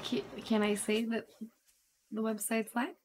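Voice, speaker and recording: A woman in her thirties, slightly hoarse, chatting casually with viewers on a livestream from her bed, with lots of fillers. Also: a little high, little pitch movement, a little slow. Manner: chatty, reads chat aloud, many fillers. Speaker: livestream host. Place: bedroom, sitting in bed. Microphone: webcam framing.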